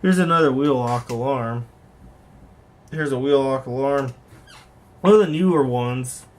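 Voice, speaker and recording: Guy doing a laid-back hobby vlog, mid-pitched voice, kinda rambles and backtracks while showing stuff off. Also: a little slow. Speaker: hobbyist vlogger, host. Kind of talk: casual unscripted show-and-tell commentary on online listings.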